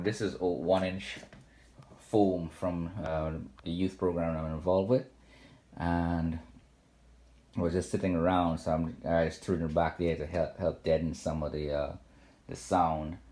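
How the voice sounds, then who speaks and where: A man giving a casual, unscripted home video walkthrough, talking haltingly with frequent ums and self-corrections. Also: low-pitched, a little mellow. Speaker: home theater vlogger. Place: home theater room indoors.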